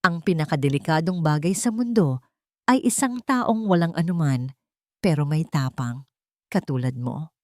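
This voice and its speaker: A woman voicing a wealthy man from a love story, calm, deliberate and threatening. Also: low-pitched, no echo. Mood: calm menace.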